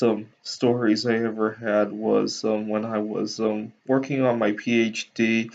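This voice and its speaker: A man from Taiwan casually telling personal anecdotes to viewers in a hesitant, halting way with frequent ums. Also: mid-range voice, gravelly, a little mellow. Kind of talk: casual rambling storytelling. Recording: dull lo-fi sound.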